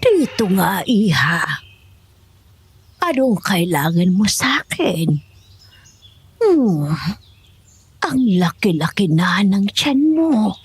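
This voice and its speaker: A woman playing a kindly, unhurried older village midwife in a horror audio drama, with a husky, breathy voice. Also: mid-range voice, very animated, full character voice. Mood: welcoming, curious.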